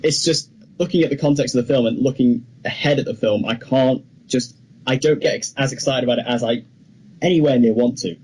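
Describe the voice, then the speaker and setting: A man's voice: medium pitch, brisk, a little mellow, husky, poor audio. A man co-hosting a podcast, giving his opinions on films in casual, informal conversation. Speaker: podcast co-host. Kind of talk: casual film discussion.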